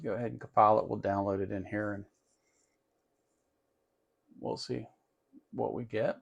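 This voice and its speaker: A man's voice: lowish voice, steady tone, normal speed, vocal fry, muffled recording. A man hosting a casual hands-on electronics tutorial, talking informally and thinking aloud as he works. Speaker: host of an electronics tutorial channel.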